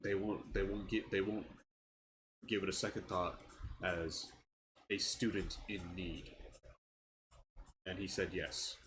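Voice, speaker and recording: A young man with a stuffy nose, talking in a casual vlog about his frustrations, halting and restarting his words. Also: low-pitched, flat delivery, fairly bright tone, slight background noise, rough recording. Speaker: channel owner giving an update. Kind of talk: casual personal vlog rant.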